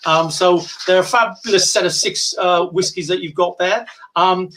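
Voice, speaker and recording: Bright high-voiced chatty man. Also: steady tone, normal speed, a little hiss or hum. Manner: chatty, rambling, frequent fillers and restarts. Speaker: whisky brand ambassador presenting deals. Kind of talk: casual live-stream sales chat.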